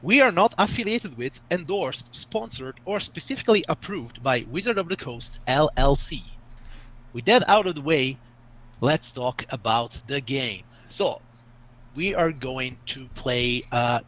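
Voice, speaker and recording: A man's voice: mid-range voice, lively intonation, a little slow, fairly bright tone, poor audio. A man from Italy, running a tabletop game as game master, talking with frequent hesitations. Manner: hesitant, many filler sounds. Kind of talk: hosting a tabletop game stream.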